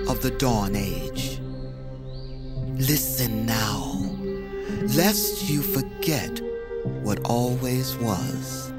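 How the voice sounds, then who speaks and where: A solemn mythic storyteller. He narrates very slowly and gravely in a mid-pitched voice, with loud cinematic music underneath. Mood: hushed warning. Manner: grave, portentous, deliberate. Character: solemn male storyteller of an ancient myth. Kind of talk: scripted narration.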